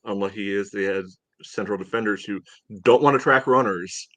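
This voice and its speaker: Low-voiced man chatting about soccer. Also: a bit bright, no echo. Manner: halting, peppered with "you know". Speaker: soccer podcast co-host. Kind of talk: casual match recap chat.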